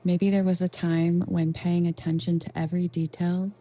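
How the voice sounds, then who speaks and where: A woman leading a guided meditation in a gentle, reassuring, unhurried way with long pauses. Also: lowish voice, flat delivery, low-quality recording. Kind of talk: soothing guided meditation narration.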